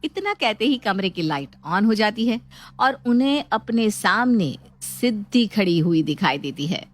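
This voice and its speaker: A woman storyteller delivers scripted narration. Her voice sits at an average pitch for a woman, telling a tale from a prepared text. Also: animated, a little slow.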